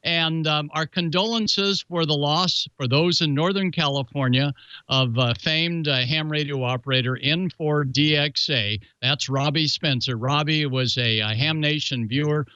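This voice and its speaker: A man's voice: on the high side, measured pace, bright, forceful tone, clear, resonant tone, no room sound, dull audio. A man hosting a show and presenting amateur radio club news in a folksy, jokey announcer's way. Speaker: show host.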